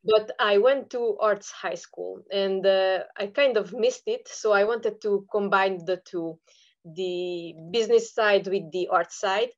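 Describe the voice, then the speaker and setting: A woman's voice: medium pitch, slow pace, muffled recording. A woman from Romania with a Romanian accent, answering conversationally as an interview guest. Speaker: podcast guest, online seller.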